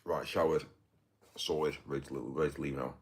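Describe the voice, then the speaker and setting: A British man with a deep voice, chatting casually on a livestream in a halting, mumbly way full of fillers. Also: steady tone, slightly rough. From United Kingdom. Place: indoors, getting ready to go out.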